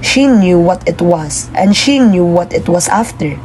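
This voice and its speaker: A woman narrates a puppet show story from a script. Her voice is fairly low and mellow, and there is clear background noise. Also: expressive, unhurried, rough recording.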